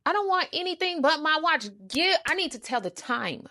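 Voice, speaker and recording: Sassy woman storyteller with a creaky voice. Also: high-pitched, moderate pace. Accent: American. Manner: animated, sassy, emphatic, slangy. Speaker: storytime show host. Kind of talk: casual storytelling, acting out quoted conversations.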